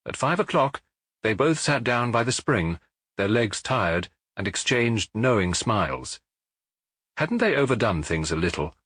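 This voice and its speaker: Low, husky narrator with lively range. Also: male voice, unhurried, no room sound. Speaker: audiobook narrator. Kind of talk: scripted narration of a detective novel.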